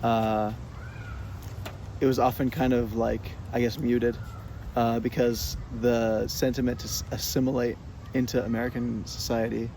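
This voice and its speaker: A young man from Fresno, California, answering oral history questions in a halting way, full of "um"s and restarts. His voice sits in an ordinary middle pitch. Traffic and street noise run clearly under the recording. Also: little pitch movement, mellow. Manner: hesitant, many fillers and restarts. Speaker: interviewee.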